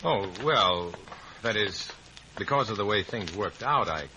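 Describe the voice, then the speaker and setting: An actor playing a wary undercover agent in an old-time radio spy drama, speaking in a terse, sardonic way. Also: male voice, on the low side, expressive, measured pace, bright, forceful tone, a little hiss or hum, exaggerated voice, low-quality recording. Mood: earnest, evasive. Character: wary, hard-boiled undercover agent posing as a comrade.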